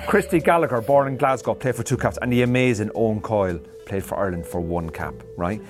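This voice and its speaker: Lowish man arguing his case hard. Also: fast, over music. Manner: emphatic, argumentative pitch. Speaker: panel guest. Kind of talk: comedy panel show banter.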